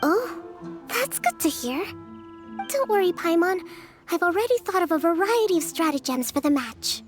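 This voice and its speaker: A woman voicing a gentle, thoughtful young leader in a video game, speaking politely and earnestly in measured phrases, with music behind her. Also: very high-pitched, lively intonation. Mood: pleased. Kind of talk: video game dialogue acting.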